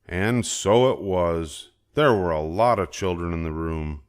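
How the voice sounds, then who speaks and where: Older male storybook narrator, very low voice, expressive read-aloud for young children. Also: unhurried, clean tone. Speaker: storybook reader.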